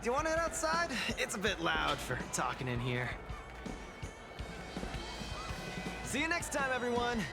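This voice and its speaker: An actor playing a cheerful, boastful young fight champion in a video game, talking in an eager, playful way. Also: male voice, very high voice, huge pitch swings, brisk, vocal fry, heavily acted. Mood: relieved. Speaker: cage fighter. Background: game music.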